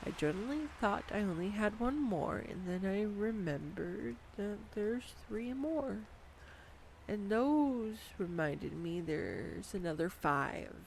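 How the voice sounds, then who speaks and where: A woman voicing an excitable, nerdy young girlfriend who rambles enthusiastically with giggles in a scripted audio roleplay, recorded on a condenser microphone. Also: mid-range voice, slow, gravelly, a little hiss or hum, rough recording. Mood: tender. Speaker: voice actor. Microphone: USB condenser microphone.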